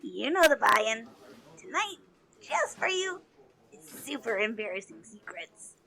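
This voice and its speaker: An actress playing a gleeful, teasing pixie who runs a card game in an audio drama, with taunting, drawn-out delivery. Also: female voice, on the high side, very animated, creaky, sharp tone, heavily acted.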